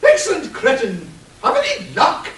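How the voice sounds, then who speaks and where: Imperious, callous British noblewoman played by a man, wildly animated comic radio delivery, faintly hissy distant recording. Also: extremely high pitch, normal speed, full character voice. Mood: haughty, pleased. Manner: haughty, exclamatory. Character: titled upper-class woman, dim, imperious, breezily callous amateur sleuth. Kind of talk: comic radio play acting. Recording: distant mic, roomy echo, faint hiss.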